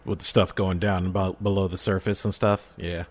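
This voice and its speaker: A man in a casual podcast discussion, animated and given to breaking into character voices. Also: deep voice, moderate pace.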